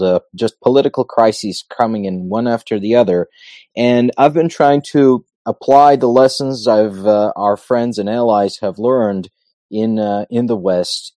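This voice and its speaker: A man from North Macedonia, a non-native English speaker with an American-sounding accent, explaining things in a measured way as a guest on a talk show. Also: on the low side, mellow, dry recording. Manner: measured, explanatory, thinks aloud. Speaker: guest, politician. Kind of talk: casual interview talk.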